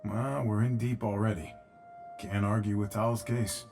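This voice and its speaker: An actor playing a dry, determined outlaw pilot hero in a video game, laconic and understated. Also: male voice, lowish voice, fairly even intonation, unhurried, gravelly, a little mellow, faint music behind. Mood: wry. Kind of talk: video game cutscene acting.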